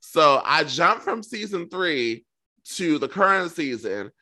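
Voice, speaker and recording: Sassy man with a bright, forceful voice. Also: a little high, big pitch swings, takes it slowly. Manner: sassy, campy slang. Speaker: podcast co-host. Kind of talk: casual gossipy chat about reality television.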